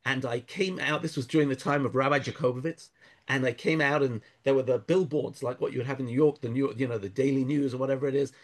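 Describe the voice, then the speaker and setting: A man speaking thoughtfully in an interview, pausing and restarting often, weaving in Hebrew verses. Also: medium pitch, steady tone. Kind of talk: conversational interview.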